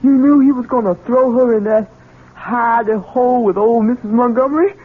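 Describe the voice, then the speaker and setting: An actor playing a simple-minded, childlike grown son in an American radio drama, speaking in a halting, pleading rustic way. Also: male voice, extremely high pitch, husky. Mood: distressed, pleading. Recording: tinny band-limited old radio broadcast.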